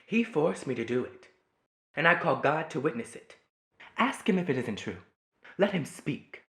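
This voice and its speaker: A woman's voice: deep voice, sing-song, normal speed. A woman playing a grieving, wronged mother in a stage drama, with a solemn, pleading delivery. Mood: indignant. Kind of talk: theatrical stage play acting.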